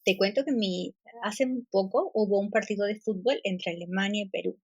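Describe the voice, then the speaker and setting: A woman's voice: mid-range voice, moderate pace, husky, no room sound, highs cut off. A woman podcast host telling an anecdote in a casual, amused way.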